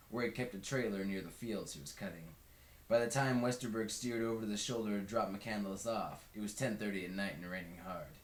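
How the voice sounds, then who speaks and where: A man reads a book aloud as narrator. His voice sits on the low side. The home recording is roomy, with clear echo around the words. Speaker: read-along narrator.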